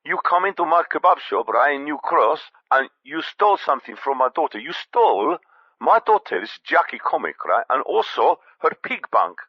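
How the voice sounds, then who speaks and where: Aggrieved kebab-shop dad, radio comedy caller: higher voice, dramatic swings, dull phone line. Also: male voice, sing-song, slightly rough, no room sound, exaggerated voice. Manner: dramatic, reproachful, deliberate. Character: aggrieved, emotional male kebab-shop owner and father.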